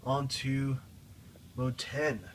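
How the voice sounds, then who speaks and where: A man giving a casual, off-the-cuff pedal demo as a guitar teacher, explaining informally and a little haltingly. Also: medium pitch, level tone. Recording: guitar playing loudly alongside the voice.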